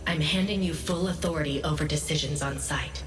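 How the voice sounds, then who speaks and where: A woman playing a composed, cautious and authoritative commander in a video game, speaking in a measured, serious way. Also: sits low, fairly bright tone. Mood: grave, measured. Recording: echoey reverberant hall, distant, faint music.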